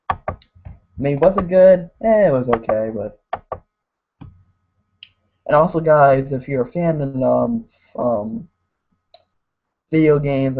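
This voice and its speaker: A man reviewing a wrestling show in a rambling casual way, saying "guys" constantly and getting hoarse. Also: mid-range voice. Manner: mocks wrestlers in put-on voices. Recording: tinny phone-like lo-fi audio.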